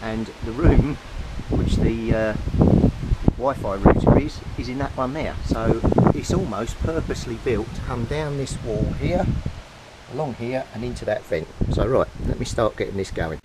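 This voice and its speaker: A man's voice: medium pitch, moderate pace. A man casually explaining a hands-on DIY job as he works, in an informal, matter-of-fact way. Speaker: DIY video maker. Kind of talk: casual hands-on demonstration, talking to camera while working. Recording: very noisy handheld recording with clicks, rustling.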